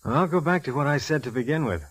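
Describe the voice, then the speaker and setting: Wisecracking police lieutenant, very animated. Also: male voice, fairly high pitch, quick pace, breathy edge. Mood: grumbling, jealous. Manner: sardonic, wisecracking. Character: gruff, jealous, wisecracking male police lieutenant. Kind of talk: 1940s radio acting. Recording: vintage 1940s radio recording.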